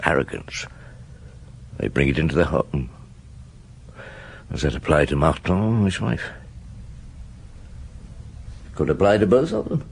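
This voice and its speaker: An actor playing a weary, doubting middle-aged chief inspector in a radio drama, probing, hesitant and often muttering to himself. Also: male voice, rumbling low, big pitch swings, a little slow, gravelly, pauses often, breathy edge, full character voice. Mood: musing, muttering. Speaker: detective and narrator. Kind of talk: radio drama dialogue and narration.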